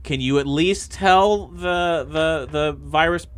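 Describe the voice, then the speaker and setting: A man's voice: fairly high pitch, slow, smooth. A man playing an agitated, sarcastic man trapped inside a computer, flustered and stammering in improvised tabletop roleplay. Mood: exasperated. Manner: flustered, stammering, repeats words, exclaims.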